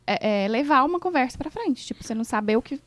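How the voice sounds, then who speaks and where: A woman co-hosting a radio show who tells her stories in an expressive, dramatic way. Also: high-pitched, fast talker, no room sound. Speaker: radio co-host. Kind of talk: casual chat. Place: radio studio.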